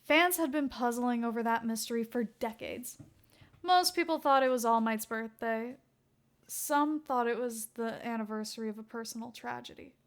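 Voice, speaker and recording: A woman's voice: on the high side, moderate pace. A woman reading a scripted story aloud as a fan fiction narrator.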